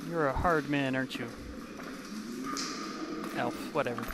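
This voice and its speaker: A man's voice: mid-range voice, unhurried, very noisy. A man from Canada giving casual, offhand let's play commentary on a video game.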